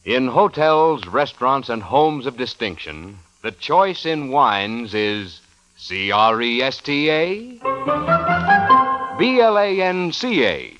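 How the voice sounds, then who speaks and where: A stately male announcer reads a 1940s radio commercial. He goes very slowly and deliberately, with highly varied intonation over faint music. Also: very animated, a bit bright, poor audio. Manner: stately, spelling out names deliberately. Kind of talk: 1940s radio advertisement read.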